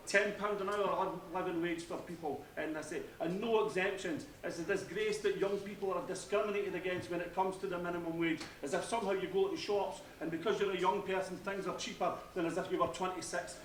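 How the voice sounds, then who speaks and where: A man giving a loud, forceful campaign speech as a candidate at a debate, repeating phrases for emphasis. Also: high voice. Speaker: election candidate. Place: university debate hall. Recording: echoey hall, far from the mic, faint noise.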